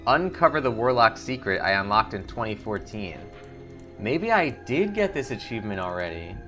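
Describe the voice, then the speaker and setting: A man with a fairly low voice hosts a gaming video. He talks casually and informally, thinking aloud as he plays, with music in the background. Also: sing-song, moderate pace, a bit bright. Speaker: gaming channel host. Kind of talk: casual game commentary.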